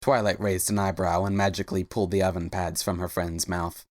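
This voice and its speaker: A woman's voice: rumbling low, little pitch movement, brisk, darkish tone, no echo, clean studio sound. A woman reading scripted story narration as the narrator of a dramatic reading.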